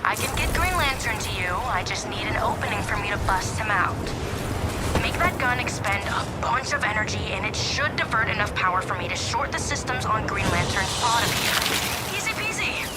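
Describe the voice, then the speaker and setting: An actress playing a breezy, cocky young vigilante streamer in a video game, chatty, slangy and playfully sarcastic. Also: female voice, medium pitch, animated, fairly quick, over loud music, lightly acted. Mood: casual, confident. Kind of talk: casual livestream-style video game cutscene acting.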